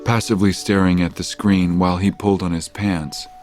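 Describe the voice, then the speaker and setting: A man's voice: low voice, fairly even intonation, fairly quick, a little mellow, faint music behind. A man reading scripted narration for an audio drama.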